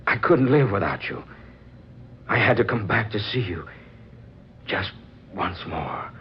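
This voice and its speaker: Casting: ruined, lovelorn young man in vintage radio drama; deep, heavy, halting speech on a muffled, faintly noisy recording. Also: big pitch swings. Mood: anguished, yearning. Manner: halting, heavy, melodramatic delivery. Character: ruined, broken young male inventor, lovelorn and bitter. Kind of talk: old-time radio drama acting.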